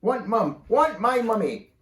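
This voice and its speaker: Man reading as a clingy, wailing toddler girl; high, loud baby talk, roomy sound. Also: big pitch swings, unhurried, distant mic, full character voice. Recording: roomy echo.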